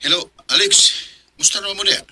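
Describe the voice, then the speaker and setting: Fast, sharp-voiced nagging older brother. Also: male voice, sits high, husky, full character voice. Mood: brisk. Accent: Cebuano Filipino. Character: scolding older brother on the phone. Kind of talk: Bisaya radio drama acting.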